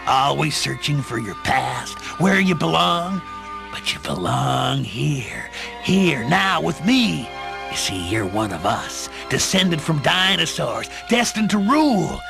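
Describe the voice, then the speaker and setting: A man's voice: a little high, big pitch swings, takes it slowly, vocal fry, heavily acted. A man playing a menacing, commanding reptilian tyrant in a radio play. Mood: sinister, coaxing. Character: menacing reptilian male tyrant, seductive then explosive. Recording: music behind the voice.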